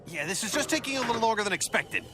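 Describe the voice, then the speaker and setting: A man's voice: high voice, expressive, racing, background noise. An actor playing a stern, sardonic futuristic superhero man in a video game, bossy and clipped in manner. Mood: reassuring, strained.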